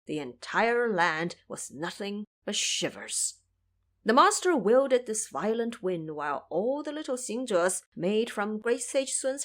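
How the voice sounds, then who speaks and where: A woman narrator tells a scripted adventure tale. Her delivery is dramatic, with lively, widely moving pitch around a medium register.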